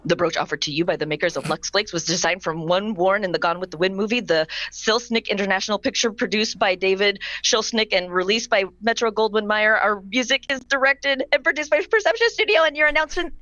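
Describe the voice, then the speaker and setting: A woman's voice: mid-range voice, brisk, few pauses, bright, forceful tone, no room sound. A woman announcer reading a scripted credit roll in old-time radio style, with dull, lo-fi sound. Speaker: announcer and performer.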